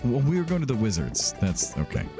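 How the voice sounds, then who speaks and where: A man's voice: mid-range voice, wildly swooping pitch, brisk. A man hosting a gaming let's play in a casual, rambling, self-deprecating way, stopping and restarting as he goes. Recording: music behind the voice. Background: background music.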